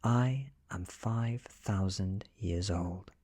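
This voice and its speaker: British man playing a slow, breathy vampire woman. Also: low voice, no room sound, exaggerated voice. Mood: calm menace. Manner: slow, soft, half-whispered threats. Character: menacing ancient female vampire, velvety and calmly threatening.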